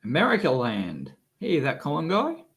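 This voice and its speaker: A man with a cold and a rough, sick-sounding voice, hosting a casual live stream and grumbling with exasperation. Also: on the high side, huge pitch swings, normal speed, fairly bright tone. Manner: grumbling, exasperated, swears freely. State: has a cold, coughing. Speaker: electronics video host.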